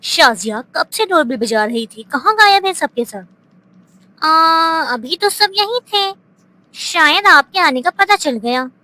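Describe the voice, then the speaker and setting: A woman playing a sharp-tongued, needling female relative in an animated moral story, with theatrical, pointed delivery. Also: sits high, slow pace, exaggerated voice, rough recording. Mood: impatient.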